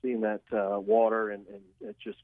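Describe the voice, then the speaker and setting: A man's voice: on the low side, big pitch swings, normal speed, no room sound. A good-humoured man chatting casually as a radio guest over a phone line. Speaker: phone-in guest, foundation president. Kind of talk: casual interview chat. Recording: dull phone call into radio.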